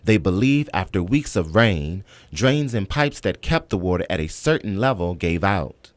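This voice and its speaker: A man's voice: deep voice, big pitch swings, normal speed, dry recording. An American man narrating scripted television news reports as a reporter.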